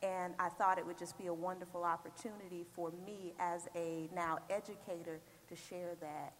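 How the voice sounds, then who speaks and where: Low-voiced woman panelist in echoing hall. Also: fairly even intonation. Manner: measured, reflective, with filler ums. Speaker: panelist and educator. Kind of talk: panel discussion. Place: large church hall. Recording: roomy hall with faint hum.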